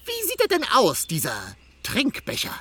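An actor playing a quirky, eager keeper of a lost-and-found office in a children's audio play, theatrical and given to humming. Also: male voice, very high-pitched, huge pitch swings, moderate pace, bright, forceful tone, full character voice. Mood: eccentric, proud.

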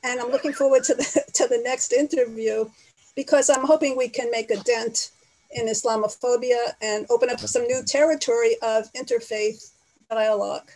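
A woman joins an online call to offer thanks, speaking in an earnest, slightly hesitant way. Her voice sits a little high. She comes through a video call connection. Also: fairly even intonation, moderate pace, a little mellow, poor audio. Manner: earnest, appreciative, a bit hesitant. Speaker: caller. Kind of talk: casual chat.

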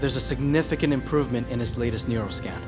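A woman playing a calm, cautious doctor with a measured, clinical manner in an audio drama. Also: extremely low pitch, music in the background.

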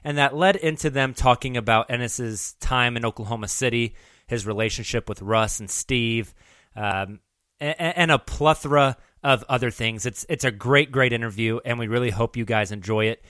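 A man's voice: medium pitch, moderate pace, fairly bright tone, clean tone, dry recording. Man introducing a podcast, very dry sound. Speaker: podcast host.

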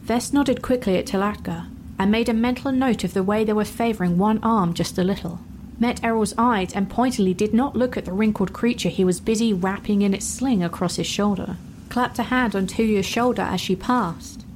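A woman narrating a fantasy story in a measured, wry storytelling manner. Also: medium pitch, darkish tone, slight background noise, poor audio. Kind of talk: dramatic fan fiction narration. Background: music.

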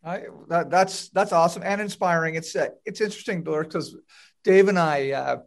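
A man's voice: fairly high pitch, brisk. A man co-hosting a podcast, asking questions in a friendly, hesitant way with many fillers. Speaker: podcast co-host. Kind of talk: casual podcast conversation.

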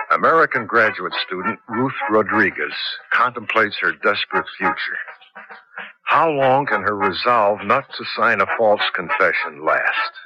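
Low-voiced dramatic male radio announcer. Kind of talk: dramatic scripted narration, 1970s radio. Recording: muffled old radio broadcast.

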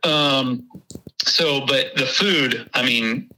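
A man with a Texas accent, a guest chatting casually about a hunting trip, laughing often and pausing with ums. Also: medium pitch, sharp tone, a little hiss or hum, rough recording. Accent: Texan. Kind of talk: casual interview chat.

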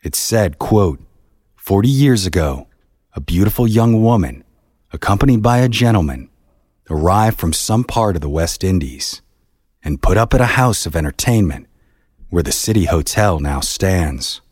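A man narrating a scripted historical tale in a measured, solemn manner. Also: sits low, animated, a little mellow, slightly rough, dry recording, clean studio sound. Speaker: narrator, podcast host.

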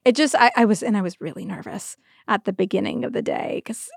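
A woman's voice: medium pitch, fairly quick, gravelly, slightly rough, dry recording, studio quality. A young adult woman chatting casually and enthusiastically as a podcast co-host, often breaking into giggles.

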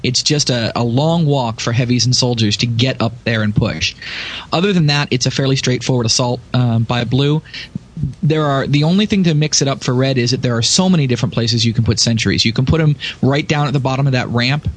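Male podcast panelist, average pitch, talks through game strategy casually and in detail. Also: a little fast.

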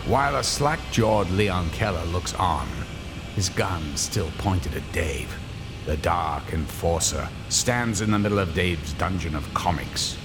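A man's voice: low-pitched, expressive, a little slow, music in the background. A man playing the narrator of a radio horror drama, grave and suspenseful in his dramatic scripted delivery. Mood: ominous.

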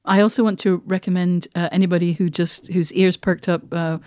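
A woman's voice: fairly low pitch, steady tone, brisk, darkish tone, no room sound, studio quality. A woman co-hosting a podcast, speaking in a conversational style. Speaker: podcast co-host.

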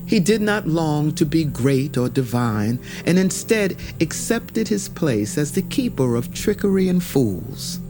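A man tells an ancient myth in a solemn, measured way. His pacing is slow and his pitch moves expressively through each phrase, with music clearly behind him. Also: sing-song. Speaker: storyteller, narrator. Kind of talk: scripted mythic storytelling narration.

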